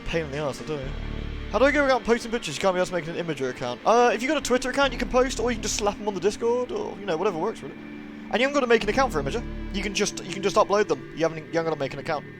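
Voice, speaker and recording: A British man chats casually to his livestream audience in a fairly high voice that swings widely in pitch. He is friendly and informal, addressing viewers one by one. Music plays clearly behind him. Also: expressive, rapid-fire. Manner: chatty, friendly, informal with mild swearing. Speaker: live streamer, host. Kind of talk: casual livestream chat, greeting and answering viewers. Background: moody music.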